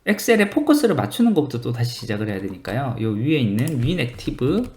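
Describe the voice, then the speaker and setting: A man's voice: fairly high pitch, a little mellow. A man presenting a screen-recorded coding tutorial, informally thinking aloud as he explains each step.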